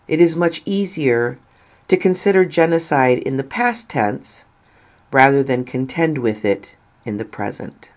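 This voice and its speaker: Female narrator for a read-aloud article: slow, measured delivery, deliberate pausing between phrases. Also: very deep voice. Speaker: reader of an article.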